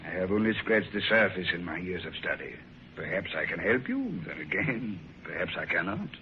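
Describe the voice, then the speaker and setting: An actor playing an older doctor of the supernatural in a 1950s radio drama, speaking in a measured, modest, courteous way. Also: male voice, sits low, very animated. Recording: muffled old radio broadcast, faint hiss.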